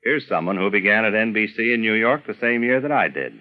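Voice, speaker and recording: A man's voice: fairly low pitch, expressive, a little fast, phone-quality sound. An older American man, a veteran announcer, narrating radio memories from a script with careful, polished diction.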